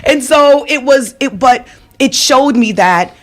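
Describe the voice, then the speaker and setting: A woman's voice: sits high, lively intonation, slow. A woman from Jamaica introducing herself as a candidate at a neighborhood forum, telling a story with rhetorical asides. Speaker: aldermanic candidate. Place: community media center room.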